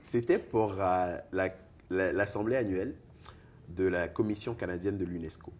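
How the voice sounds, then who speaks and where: A deep baritone man from Togo, guest in an interview, talking in an animated way about his music and laughing often. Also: moderate pace, a little hiss or hum. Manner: animated, laughs often, repeats words when searching. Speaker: singer-songwriter and poet guest. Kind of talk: conversational interview.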